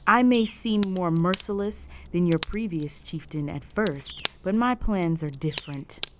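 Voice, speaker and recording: Girl voicing a bossy proud orc chieftain lady, kinda low voice, measured n stern, audio rp, some noise behind her. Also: close to an everyday voice. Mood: commanding, stern. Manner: authoritative, formal, measured speech-making. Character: possessive, commanding young female orc chieftain, stern, proud. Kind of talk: roleplay audio drama monologue.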